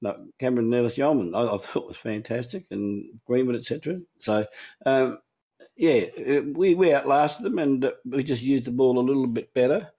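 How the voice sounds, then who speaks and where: A man's voice: medium pitch, normal speed, warm tone, slightly rough. An Australian man talking sport in a casual chat, emphatic, with frequent restarts. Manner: emphatic, restarts often, chuckles while talking.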